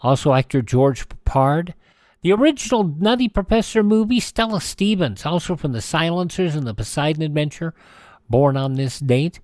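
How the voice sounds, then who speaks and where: Higher-pitched older American man, dry studio sound. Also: lively intonation, a little mellow, no room sound. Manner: rambling, folksy asides with hesitant pauses. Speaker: radio host. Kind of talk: casual radio commentary reading dates and birthdays.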